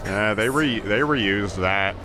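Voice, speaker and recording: A man's voice: on the low side, slow pace. A man bantering casually and playfully as co-commentator on a video game playthrough. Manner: joking, playful. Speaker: let's play co-commentator. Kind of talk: casual banter over gameplay. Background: video game music.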